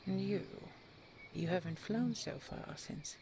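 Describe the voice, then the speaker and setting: A regal dragon queen voiced by a woman speaks very slowly in a soft, creaky near-whisper. She is tender and loving, pausing gently as she consoles her beloved in an intimate bedtime roleplay, with faint noise behind her. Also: mid-range voice. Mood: tender, earnest. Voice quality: soft, breathy, often whispered. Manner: gentle, halting, unhurried endearments. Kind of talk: intimate audio roleplay, sleep-aid storytelling.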